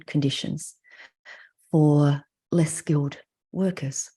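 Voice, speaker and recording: Husky middle-aged Australian woman, reflective. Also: deep voice, dark tone. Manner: measured, deliberate, pausing between phrases. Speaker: mental health clinician giving a talk. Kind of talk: reflective prepared talk with personal stories.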